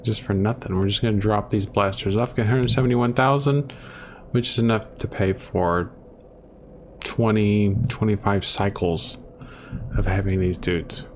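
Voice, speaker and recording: Rambling gamer guy, low voice. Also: a little slow, a little hiss or hum. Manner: rambling, self-deprecating, thinks aloud while playing. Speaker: gaming channel host. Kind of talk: casual gameplay commentary. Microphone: desktop microphone, close.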